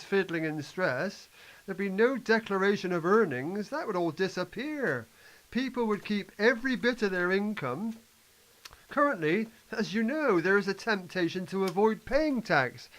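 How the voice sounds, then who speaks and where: A man's voice: high-pitched, low-quality recording. A man in an amateur play playing a confident, impatient economic reform advocate, lecturing emphatically and stumbling slightly over lines. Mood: earnest, explanatory. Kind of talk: amateur scripted play reading.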